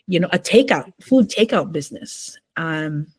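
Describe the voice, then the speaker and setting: A woman's voice: fairly low pitch, expressive, moderate pace, breathy edge, no echo. A middle-aged woman from Metro Manila, a novelist and mother, chatting easily in an interview and telling family stories with many fillers. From Philippines, Metro Manila. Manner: warm, storytelling, many fillers. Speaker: podcast guest, novelist. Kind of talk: casual interview conversation.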